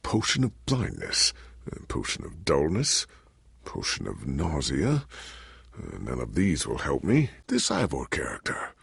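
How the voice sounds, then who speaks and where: An actor playing a proud but anxious, forgetful legendary warrior in a video game, with an earnest, formal, theatrical delivery. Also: male voice, a bass voice, huge pitch swings, measured pace, creaky, fairly bright tone, slightly rough, no echo, clean studio sound, full character voice. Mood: subdued, wistful. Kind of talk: dramatic video game voice acting.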